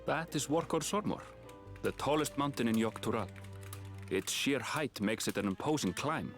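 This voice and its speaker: A male actor playing a knowledgeable, earnest local guide in a fantasy game, explaining things in a measured, foreboding way. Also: mid-range voice, fairly bright tone, faint music behind, close to an everyday voice.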